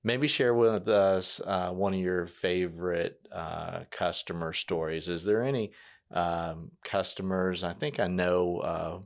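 Deep, gravelly man conducting an interview. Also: fairly even intonation, a little slow. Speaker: interviewer, company colleague. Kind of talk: conversational interview.

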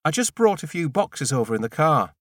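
A man's voice: on the high side, very animated, brisk, fairly bright tone, dry recording, studio quality, pitched above the performer's own voice. A man voicing a breezy, slightly guarded woman, a new neighbour in an audiobook, light and matter-of-fact. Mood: brisk, curious.

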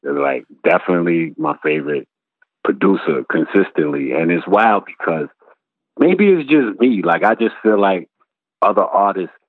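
Deep-voiced Brooklyn man on a phone-like line. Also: animated, normal speed. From Brooklyn, New York. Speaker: guest, rapper. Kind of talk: casual interview chat.

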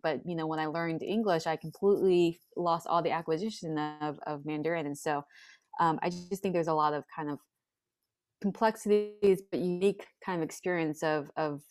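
An American woman raised in Hong Kong, sharing her personal story as a podcast guest in a thoughtful, halting, searching way. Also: deep voice, darkish tone, no room sound, clean studio sound. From born in China, raised in Hong Kong in an American family. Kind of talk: reflective personal storytelling in conversation.

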